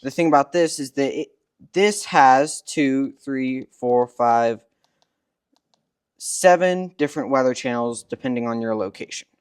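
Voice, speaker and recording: A man's voice: medium pitch, a little slow, smooth, no echo, studio quality. A man explaining features step by step as a product demonstrator, recorded indoors in a workshop.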